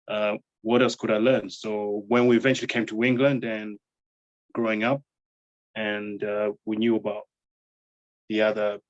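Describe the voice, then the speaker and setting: A man's voice: lowish voice, dry recording, muffled recording. A man from Tanzania, a guest introducing himself over a video call, talking modestly with many fillers. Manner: soft-spoken, many fillers like um and you know. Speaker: interview guest. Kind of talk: humble, measured self-introduction.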